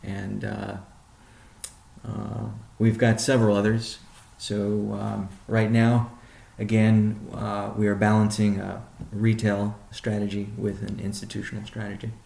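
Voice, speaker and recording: A man speaking as a company chief executive on a conference call, answering questions in a measured, deliberate, halting way. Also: fairly low pitch, monotone, gravelly, warm tone, roomy sound.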